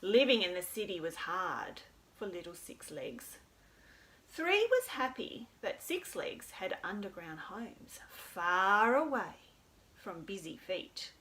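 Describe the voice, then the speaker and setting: A woman's voice: mid-range voice, big pitch swings, takes it slowly, fairly bright tone. A woman hosts a storytime for young children, speaking in an encouraging, sing-song way with simple phrases. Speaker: children's storytime host at a library.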